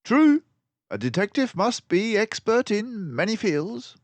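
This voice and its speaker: A man's voice: high-pitched, sing-song, unhurried, dry recording, pitched above the performer's own voice. A man voicing a clever, confident mouse detective from a storybook, with an emphatic, precise, lecturing manner. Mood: confident, urgent. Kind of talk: storybook character voice.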